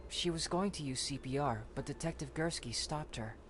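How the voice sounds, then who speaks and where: A man's voice: a little high, normal speed, fairly bright tone, slight background noise, lightly acted. A man playing a meek, hesitant and shaken young man in a visual novel game.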